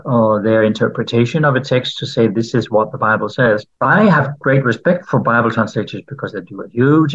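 A man's voice: medium pitch, a bit bright, lo-fi sound. A Danish man, a native Danish speaker, answering interview questions about the Bible as a translator, thoughtful and questioning with frequent fillers. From Denmark.

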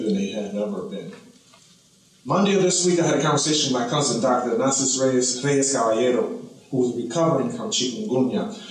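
A Cuban-born man giving earnest, measured formal testimony at a hearing. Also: medium pitch, recorded from a distance. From Cuba. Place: large hearing room. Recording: roomy hall audio from off mic.

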